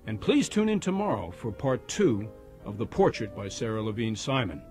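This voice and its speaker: A man's voice: fairly low pitch, big pitch swings, normal speed, darkish tone, soft background music. A man reading the closing credits of a radio drama as its announcer.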